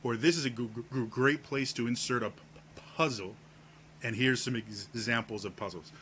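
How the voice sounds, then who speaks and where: A man talking casually to camera on his vlog, with a deep voice and a heavy stutter that repeats the first sounds of words. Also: brisk, sharp tone, slight background noise. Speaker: vlogger.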